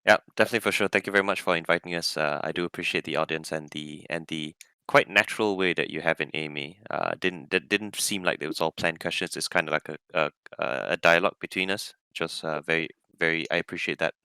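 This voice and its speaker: A man who is a guest from a crypto team, talking fast and emphatically in a casual online chat. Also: low-pitched, pushed and bright, clear, resonant tone, no echo.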